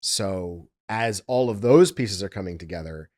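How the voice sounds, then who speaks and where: A man's voice: low voice, a little slow, darkish tone. A man, an audiobook producer, talking casually and explaining his work step by step.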